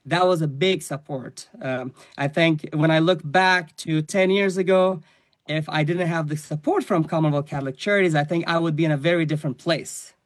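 Earnest man from Afghanistan, higher voice. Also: steady tone, dry recording. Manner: earnest, measured, with frequent fillers. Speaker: guest, charity staff member. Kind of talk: telling his personal story in an interview.

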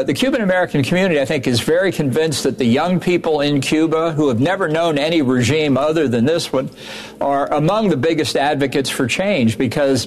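A man, a former ambassador, speaking as an interview guest in a measured way, often repeating words as he starts sentences. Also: on the high side. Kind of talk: news interview.

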